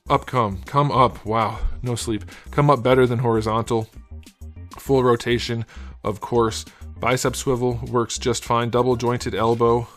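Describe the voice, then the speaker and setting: A man with a hoarse, scratchy voice casually reviewing an action figure, thinking aloud with small fillers. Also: medium pitch. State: hoarse, losing his voice. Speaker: toy reviewer.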